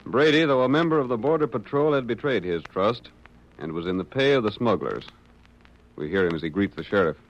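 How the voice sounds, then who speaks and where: A man's voice: fairly low pitch, expressive, fairly quick, soft background music. A man narrating as announcer of a vintage radio adventure drama, in a dramatic, scripted style. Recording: muffled old radio recording.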